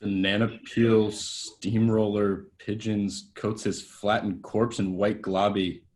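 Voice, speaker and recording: A man's voice: on the low side, flat delivery, slow, a little mellow. A man reading a cocky hungover young actor. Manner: drawling, swearing. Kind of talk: table-read acting.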